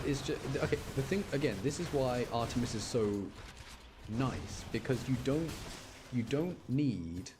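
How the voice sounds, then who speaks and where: A man's voice: medium pitch, background noise. A man giving casual live commentary as he streams a video game, rambling and often repeating himself.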